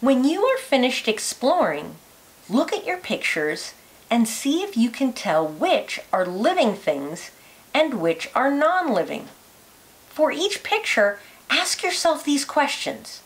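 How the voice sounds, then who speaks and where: A woman with a fairly high voice reads a children's science book aloud. Her delivery is slow and careful, with lots of rise and fall in the melody so young listeners can follow each phrase. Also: very animated, low-quality recording.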